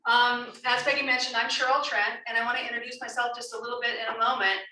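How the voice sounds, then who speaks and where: A woman facilitating a public town meeting in a loud, brisk, self-assured way, heard from a distance in a meeting room. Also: on the high side, flat delivery. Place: senior center meeting room. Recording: echoey room, distant mic, faint hiss.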